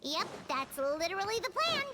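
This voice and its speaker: Very high-pitched, excitable little fairy companion. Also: female voice, moderate pace. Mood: curious. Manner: cutesy, refers to self in third person. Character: small floating childlike fairy companion, excitable, boastful. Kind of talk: video game voice acting.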